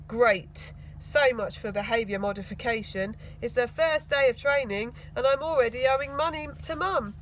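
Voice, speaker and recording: A woman's voice: a little high, normal speed, hardly any pauses, fairly bright tone, a little hiss or hum, lightly acted. Self-important schoolboy, read by a woman. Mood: irritated. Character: scheming, easily irritated young boy, proud of his plans.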